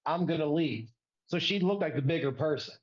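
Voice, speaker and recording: A man's voice: fairly high pitch, animated, fairly quick, echoey room, muffled recording. A man co-hosting a wrestling podcast, giving blunt, opinionated takes over a remote call. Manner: opinionated, direct. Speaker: podcast co-host. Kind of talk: casual wrestling discussion.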